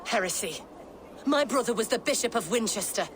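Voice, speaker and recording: A woman's voice: on the high side, animated, fairly quick, slight background noise, close to an everyday voice. An actress playing a haughty, devout noblewoman with an indignant, imperious and theatrical manner. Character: haughty, devout noblewoman guarding her brother's secret. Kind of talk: dramatic video game acting.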